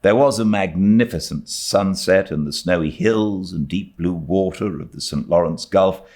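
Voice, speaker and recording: A man with a British accent reading a classic novel aloud as an expressive audiobook narrator. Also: deep voice, moderate pace. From Britain. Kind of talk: expressive literary story narration.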